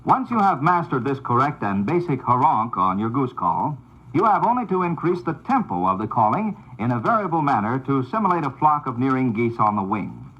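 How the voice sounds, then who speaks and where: A man giving scripted, formal instructional narration on an old 78rpm record, in a measured, announcer-like delivery. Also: medium pitch, sing-song, a bit bright, husky, muffled recording.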